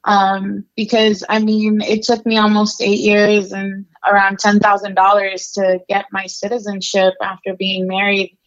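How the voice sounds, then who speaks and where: A woman's voice: medium pitch, completely flat delivery, unhurried, few pauses, muffled recording. A young adult woman from Mexico, a guest telling her life story in a casual, candid interview full of fillers. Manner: candid, conversational, many fillers.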